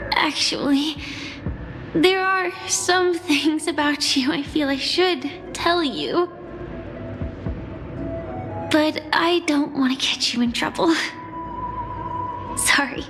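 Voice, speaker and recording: A gentle, shy young woman. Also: sits high, slow, pauses often. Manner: soft-spoken, apologetic, at times whispery. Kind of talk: game cutscene voice acting. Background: game soundtrack music.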